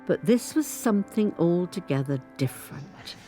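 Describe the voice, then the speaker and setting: An actress playing a dignified woman of eighty-five, a survivor of an abusive marriage, telling her life story as a measured, articulate dramatic monologue. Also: lowish voice, animated, dark tone, natural voice. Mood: grave, bitter. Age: older. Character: 85-year-old grandmother, abused former footballer's wife, dignified survivor. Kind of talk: dramatic first-person monologue, scripted storytelling. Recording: studio recording with guitar music underneath. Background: instrumental guitar music.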